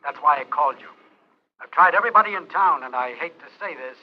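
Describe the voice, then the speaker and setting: A man's voice: a little high, expressive, fast talker. Subdued, apologetic man, muffled old radio. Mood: regretful. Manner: hesitant, subdued. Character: apologetic, cautious well-connected man, evasive lover. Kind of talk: old-time radio drama acting. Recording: dull, noisy old radio recording.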